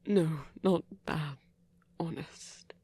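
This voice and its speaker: Man playing a whispery, flirty gentle vampire. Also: a little high, fairly even intonation, extremely slow, heavily acted. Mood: calm, intrigued. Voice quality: whispery, very breathy. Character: flirty, gentle, self-possessed male vampire. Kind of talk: intimate ASMR audio roleplay.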